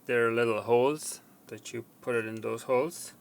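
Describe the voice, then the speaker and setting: A man's voice: mid-range voice, steady tone, moderate pace, vocal fry, smooth. A man presenting a casual, unscripted how-to demonstration, talking informally and conversationally and repeating words for emphasis. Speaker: presenter of a tech repair tutorial.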